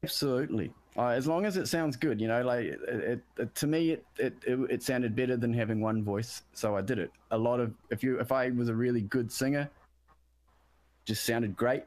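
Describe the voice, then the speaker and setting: A deep-voiced man, a musician guest from New Zealand, chatting casually about production and often restarting his sentences. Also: moderate pace, darkish tone. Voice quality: deep bass voice. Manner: rambling, self-interrupting, searching for words.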